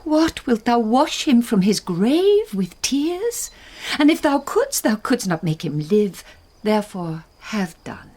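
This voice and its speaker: Stern noble mother, sweeping low-to-high delivery. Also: very animated, darkish tone, exaggerated voice. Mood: scolding. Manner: deliberate, theatrical, commanding. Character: stern, imperious aristocratic mother, vengeful. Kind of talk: classical Shakespearean radio drama acting.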